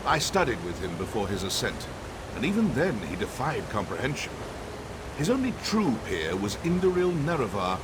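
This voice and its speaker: A man's voice: fairly low pitch, big pitch swings, moderate pace, very noisy. A man playing an arrogant, cryptic elven sorcerer scholar in a video game, theatrical, condescending and dryly sardonic. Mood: sardonic, musing. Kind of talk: scripted video game dialogue. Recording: music under the voice.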